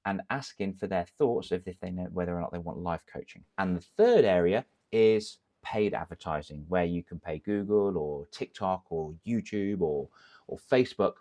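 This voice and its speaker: An English man, a confidence coach, giving energetic direct-to-camera business advice full of rhetorical questions. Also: low-pitched, moderate pace. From England.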